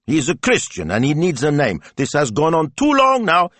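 A man's voice: a little high, huge pitch swings, moderate pace, fairly bright tone, no room sound, voice pushed up, full character voice. A man voicing a small, bossy, mischievous granddaughter in an audiobook story, with an emphatic, stubborn way of talking. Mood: bossy, insistent. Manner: emphatic, declarative.

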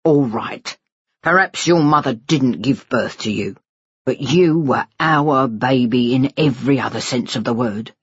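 A woman plays a stooped, weary father in a dramatised audiobook. The voice is low and rough-edged, pleading and full of remorse, with sighs. The sound is a little dull. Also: measured pace, dry recording, heavily acted. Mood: pleading, emotional. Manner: sighing, pleading.

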